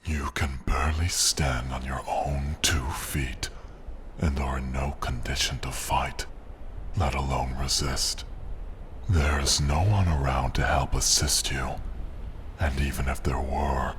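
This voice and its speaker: A man playing a haughty, menacing dragon who taunts condescendingly in a breathy rumble, in a fantasy ASMR roleplay. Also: fairly even intonation, a bit bright, heavily acted. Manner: slow, deliberate, condescending taunting. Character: menacing, haughty male dragon, mocking and possessive.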